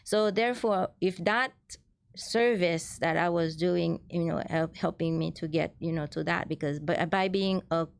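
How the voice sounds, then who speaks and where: Low-voiced Haitian-born woman, earnest. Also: a little slow, creaky. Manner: earnest, many restarts and "so therefore". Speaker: guest, transit ambassador. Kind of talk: casual interview chat.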